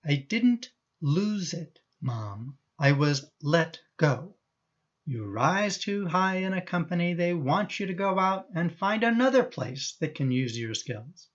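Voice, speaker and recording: Man acting as exasperated, questioning son, swooping pitch. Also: sing-song, slow pace, dull audio. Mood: defensive. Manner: questioning, insistent. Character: exasperated, judgmental adult son of an elderly mother. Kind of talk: radio play acting.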